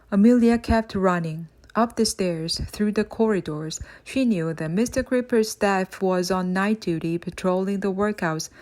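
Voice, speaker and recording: Expressive woman narrating a children's story. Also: mid-range voice. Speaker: audiobook narrator.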